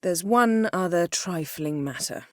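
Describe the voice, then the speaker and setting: A woman voicing a proud, sharp-tongued sorceress in a video game, speaking crisp, formal with an imperious, sardonic manner. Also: on the low side, animated, a little slow, no echo, clean studio sound. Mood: cool, commanding. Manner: crisp, formal, haughty and biting. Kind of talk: video game character dialogue.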